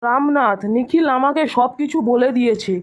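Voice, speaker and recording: A woman voicing a stern but fair village headman in a cartoon, authoritative and measured. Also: high voice, lightly acted. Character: stern, fair male village council head.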